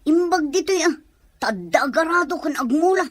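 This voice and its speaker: A woman's voice: high voice, lively intonation, moderate pace, no room sound. A woman playing a resentful, scornful aunt from the countryside in a drama. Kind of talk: acted drama dialogue.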